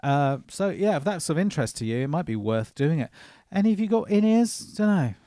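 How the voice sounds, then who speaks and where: A man with a fairly deep voice co-hosting a casual livestream chat, making jokey asides and reading out viewer comments. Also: very animated, brisk, dark tone, dry recording, studio quality. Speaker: co-host.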